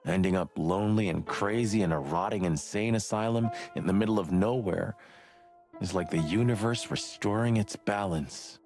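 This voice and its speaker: An actor playing a world-weary, sardonic bird detective in a film-noir game, with a gravelly voice and dry delivery. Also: male voice, on the low side, moderate pace, a little mellow, faint music behind, lightly acted. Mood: bitter, brooding. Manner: cynical, dry.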